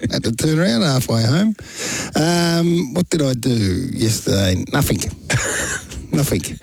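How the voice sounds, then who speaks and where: A man's voice: a little high, very animated, slow pace, creaky, breathy edge, dry recording. Australian man bantering, close and dry. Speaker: radio co-host and sports commentator. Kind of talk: casual radio banter.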